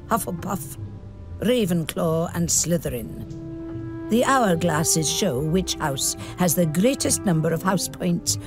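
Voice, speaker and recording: Older British teacher, formal, precise, slow, lively intonation, music behind. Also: female voice, mid-range voice. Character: stern, dignified older witch schoolteacher.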